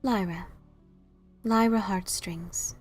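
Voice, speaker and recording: A woman voices a mysterious, composed pony lady for a fan audio drama. Her delivery is formal, measured and gently probing at an average pitch. Light music sits behind her words. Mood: quiet, polite. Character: calm, wise, mysterious female unicorn pony.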